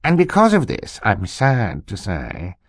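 A man voicing an animated, self-important older uncle, a jovial scientist, in an audiobook. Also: fairly low pitch, a little slow, no room sound, studio quality, heavily acted. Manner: animated, emphatic, theatrical. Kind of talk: audiobook character voice.

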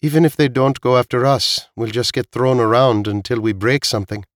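Man voicing a high-pitched sarcastic, skeptical woman. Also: fairly even intonation, a little fast, no echo, clean studio sound, natural voice. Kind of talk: audiobook character dialogue.

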